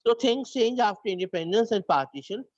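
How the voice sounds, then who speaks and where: A man's voice: high voice, expressive, fairly quick, dry recording, muffled recording. A man with a north Indian accent lecturing a class, switching between English and Hindi and often restarting his phrases. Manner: repeats and restarts phrases, dictates points to students. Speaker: teacher.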